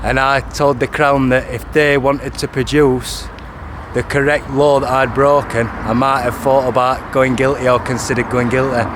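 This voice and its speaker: A man, talking to camera outdoors by a street, repeating and correcting himself insistently. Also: mid-range voice. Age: 30s. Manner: repetitive, restarting, insistent. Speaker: vlogger. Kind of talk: first-person video to camera. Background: traffic noise.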